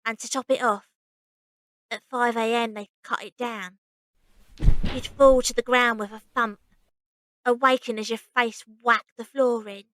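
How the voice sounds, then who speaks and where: A woman's voice: fairly high pitch, fairly even intonation, measured pace, long pauses, a bit bright, dry recording, poor audio. A woman playing a young, weary, plain-spoken circus runaway as narrator and lead of an audio drama. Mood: wistful.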